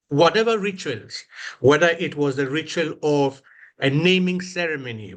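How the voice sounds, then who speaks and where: A man from northern Ghana with a Ghanaian accent, giving a slow, reflective prepared talk at a commemoration event, lifting his voice on key words. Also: fairly high pitch, fairly bright tone. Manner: slow, deliberate, with emphatic rises on key words.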